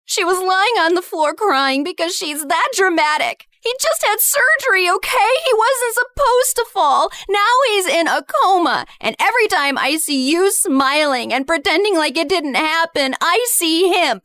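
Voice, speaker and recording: A woman acting as a scornful, bitter teenage girl in an audio drama. The voice is very high and sharp, recorded dry and close, and the delivery is accusing, sneering and emotional. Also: lively intonation, unhurried, hardly any pauses, no echo, heavily acted. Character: bitter, scornful teenage girl, a betrayed former best friend. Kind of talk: dramatized first-person story acting.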